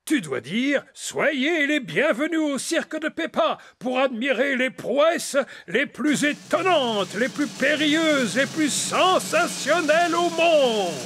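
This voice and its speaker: A man's voice: very high voice, animated, slow, hardly any pauses, a bit bright, full character voice. A man voicing a grand, hearty old grandfather pig in a children's cartoon dub, speaking theatrically.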